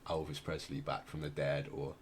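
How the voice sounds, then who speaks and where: Very deep-voiced man, hesitant podcast co-host. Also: little pitch movement, normal speed, slight background noise. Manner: hesitant, circling back and rephrasing as he explains. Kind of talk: casual podcast chat, explaining a musician's story.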